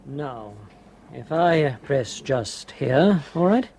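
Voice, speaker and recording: An actor playing a careful rig medic who gives gentle instructions. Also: male voice, medium pitch, animated, slow pace, vocal fry, a little hiss or hum. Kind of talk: 1970s radio drama acting.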